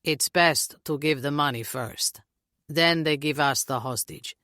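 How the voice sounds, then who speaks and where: A woman playing a gruff, throaty police captain. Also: deep voice, sharp tone, dry recording, studio quality. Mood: cold. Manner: slow, measured, cold.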